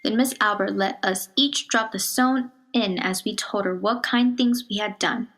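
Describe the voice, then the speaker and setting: A woman reads a children's picture book aloud in a measured, expressive storytelling manner, dropping to a hush on some phrases. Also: medium pitch, heavy background noise. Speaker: storybook reader.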